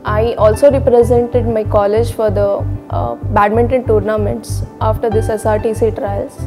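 A woman's voice: on the high side, level tone, normal speed. A young woman with an Indian accent giving a patient testimonial to camera. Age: young adult. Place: bright office indoors. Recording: music under the voice.

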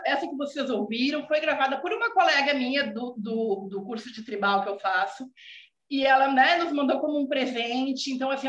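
A Brazilian woman in her forties with a gaúcha accent speaks earnestly from home over a video call, her voice set high. Her sentences keep ending on a questioning "né". The call sounds dull and echoey. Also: slight background noise. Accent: Brazilian Portuguese, gaúcha. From southern Brazil. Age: 40s. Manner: warm, earnest, many filler "né" tags. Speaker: student guest. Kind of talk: casual video call chat. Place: home room indoors. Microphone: video call laptop mic.

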